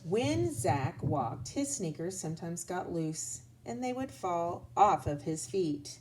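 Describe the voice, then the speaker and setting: A woman reading a children's storybook aloud as a lesson, expressively and deliberately, pausing to address young listeners. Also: on the low side, very animated, a little mellow, a little hiss or hum, rough recording.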